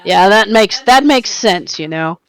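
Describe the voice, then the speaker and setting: A woman's voice: mid-range voice, lively intonation, unhurried, clear, resonant tone, close to an everyday voice. A woman who is losing her voice, playing a polite adventurer in a tabletop game. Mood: probing.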